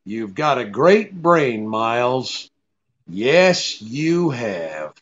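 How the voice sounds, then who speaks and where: An actor playing a cool, brisk, sardonic private detective in an old-time radio drama, with a clipped, take-charge manner. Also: male voice, mid-range voice, big pitch swings, a bit bright, exaggerated voice. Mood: wry. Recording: dull lo-fi radio-play recording.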